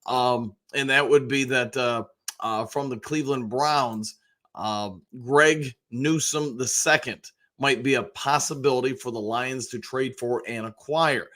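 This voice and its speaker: American guy doing a live sports fan show, voice a bit hoarse, chatty and rambly, mid pitch. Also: unhurried, bright, forceful tone. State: slightly hoarse. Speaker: sports show host, basketball coach and realtor.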